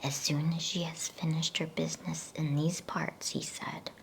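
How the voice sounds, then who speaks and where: A woman speaking in a breathy, soothing, unhurried whisper, as in an ASMR bedtime reading. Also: sits low, little pitch movement, poor audio. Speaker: ASMR bedtime story reader. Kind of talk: whispered ASMR reading aloud of a children's novel.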